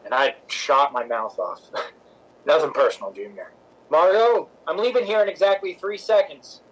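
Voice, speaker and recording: A man's voice: fairly high pitch, normal speed, breathy edge, rough recording. A man acting a brash, opinionated stage director in a 1950s-style radio drama, teasing and emphatic. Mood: breezy. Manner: wisecracking, emphatic, lecturing.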